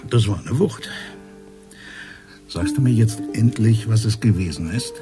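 A man's voice: fairly low pitch, expressive, measured pace, loud music behind the voice. An actor playing a worldly, cynical old friend of a policeman in a radio crime drama, speaking in a wry, flippant way. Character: worldly, cynical male friend from the vice-squad milieu.

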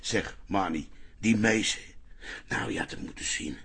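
A man's voice: fairly low pitch, normal speed, fairly bright tone, breathy edge. An actor in a radio play playing a teasing, mischievous old man who chats in a chuckly, mocking way. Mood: mocking, gleeful. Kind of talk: radio drama acting.

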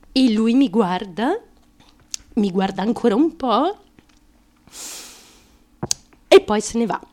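A woman's voice: mid-range voice, husky. An actress playing an unstable, anguished young woman who craves fame. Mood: hushed, wounded. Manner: volatile, swinging between hushed confiding and wailing. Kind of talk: theatrical stream-of-consciousness radio monologue.

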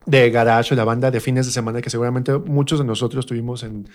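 A middle-aged man with a Mexican accent chatting casually as an interview guest, animated and colloquial, acting out imagined remarks. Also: mid-range voice, quick pace, faint music behind. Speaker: guest, stage performer.

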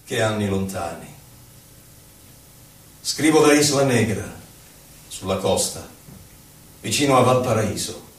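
An actor playing an impassioned, solemn poet who recalls his life in theatrical, declamatory verse with measured phrasing. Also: on the low side, expressive, a little hiss or hum. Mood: reflective. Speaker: actor in a poetic theatre piece for radio. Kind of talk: dramatic poetry recital. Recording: roomy echo, distant mic, violin music. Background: violin music.

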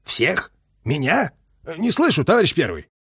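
A man's voice: fairly high pitch, wildly swooping pitch, unhurried, a bit bright, breathy edge, dry recording, studio quality, pitched above the performer's own voice, full character voice. Man voicing harsh, impatient army colonel. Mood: urgent, tense. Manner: barking, clipped commands. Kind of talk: audiobook character voice, war novel.

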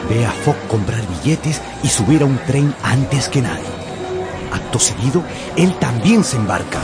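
A man's voice: mid-range voice, expressive, normal speed. A man narrating a radio drama with theatrical, emphatic storytelling. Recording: music behind the voice.

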